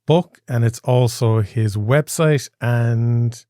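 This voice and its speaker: A man's voice: mid-range voice, slow pace, mellow, no room sound, studio quality. A man hosting a podcast interview, easygoing and affirming. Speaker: podcast host. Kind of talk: interview chat.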